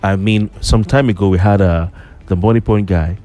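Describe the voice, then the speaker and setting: A man's voice: low-pitched, steady tone, normal speed, mellow, highs cut off. A middle-aged man hosting a morning radio talk show, chatty and teasing, with many fillers and restarts. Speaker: radio host.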